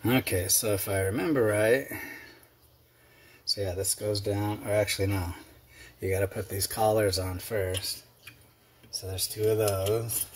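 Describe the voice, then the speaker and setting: A man's voice: fairly low pitch, fairly even intonation, unhurried, long pauses, a little hiss or hum. A middle-aged man casually narrating a workshop how-to in his garage, rambling and chatty with lots of fillers, his hands busy on the repair. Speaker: garage video host. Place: cluttered home workshop garage.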